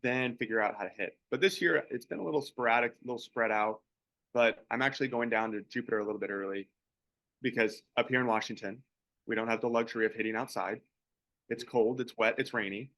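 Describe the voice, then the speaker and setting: A young man who plays pro baseball, co-hosting a podcast and chatting in a relaxed, rambling way. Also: mid-range voice, quick pace, dry recording. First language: English. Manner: relaxed, rambling, many restarts. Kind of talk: casual podcast chat.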